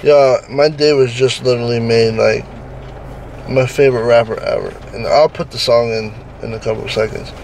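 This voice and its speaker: A man's voice: mid-range voice, fairly even intonation, moderate pace, gravelly, a little mellow, slight background noise, rough recording. A young man in his twenties vlogging casually to a handheld camera, loose and joking, swearing now and then. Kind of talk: casual vlog chat. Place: inside a car, then outdoors and at home. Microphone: handheld camera close up.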